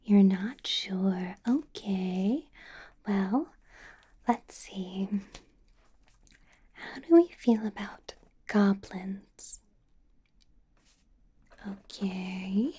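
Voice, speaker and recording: A woman acts out a female vampire boss in an ASMR roleplay, whispering in a soft, dark, breathy voice. She is possessive and doting, coaxing the listener with an undercurrent of menace. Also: mid-range voice, animated, heavily acted. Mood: coaxing, businesslike. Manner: slow, coaxing, with small chuckles and pauses.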